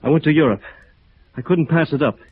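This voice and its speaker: A man's voice: on the high side, breathy edge, no room sound. Battered, bitter man, tinny radio recording. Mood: weary, ironic. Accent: American. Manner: halting, weary, bitterly ironic. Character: battered, bitter, dazed local architect, a beaten man. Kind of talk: 1950s American radio drama acting. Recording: muffled narrow-band vintage radio recording.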